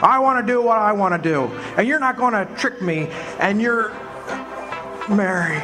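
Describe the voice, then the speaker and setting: A man's voice: high voice, animated, normal speed, over music. An actor playing a flustered young small-town man who stammers and blusters, in a live amateur radio drama. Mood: outburst.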